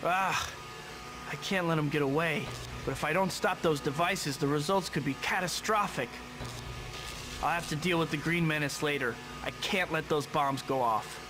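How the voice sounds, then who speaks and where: An actor playing a young, earnest and cocky superhero in a video game, quippy and often talking to himself. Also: male voice, fairly high pitch, normal speed, over music, natural voice. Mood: worried resolve. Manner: quippy, boyish, self-talking. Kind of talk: video game hero acting.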